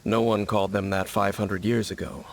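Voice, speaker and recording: An actor playing a stoic, mysterious warrior guide in a video game, speaking gravely and formally. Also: male voice, flat delivery, normal speed, slight background noise. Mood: explanatory, grave. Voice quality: low, gravelly.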